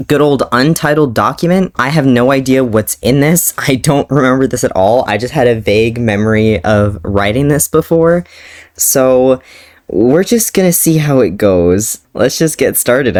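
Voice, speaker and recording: A young man chatting casually as he reads his old fan story aloud, joking about his own writing and laughing often. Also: medium pitch. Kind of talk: casual, laughing commentary on a reading.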